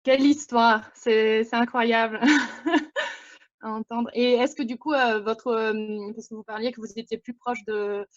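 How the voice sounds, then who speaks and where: Woman with Italian accent, polite and halting questioner, dry and dull video-call audio. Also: on the high side, fairly even intonation, no room sound. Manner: hesitant, many fillers. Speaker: audience member asking a question.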